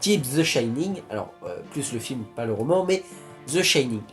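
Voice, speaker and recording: A man's voice: medium pitch, expressive, moderate pace, soft background music. A young man from France talking casually to his viewers, rambling with many restarts and fillers. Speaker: YouTuber. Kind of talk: casual vlog talk.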